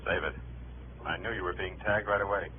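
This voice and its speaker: An actor playing a terse, matter-of-fact government agent heard through a telephone filter in a 1950s radio drama. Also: male voice, low voice, steady tone, fairly quick, breathy edge. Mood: terse, apologetic. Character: discreet, businesslike male FBI contact on the phone. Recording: faint, tinny telephone voice, old radio recording.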